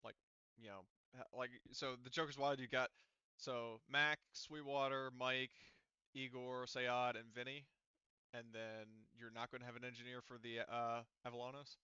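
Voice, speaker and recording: A man's voice: mid-range voice, level tone, normal speed, pushed and bright, clean tone, no echo, highs cut off. A man working as game master in casual tabletop session talk, thinking aloud and correcting himself as he goes.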